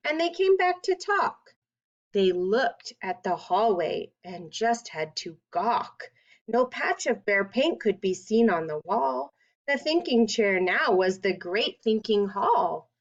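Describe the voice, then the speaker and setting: A woman reading a children's picture book aloud in an expressive, deliberate way with dramatic emphasis. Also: fairly high pitch, highs cut off. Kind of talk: read-aloud children's storytelling.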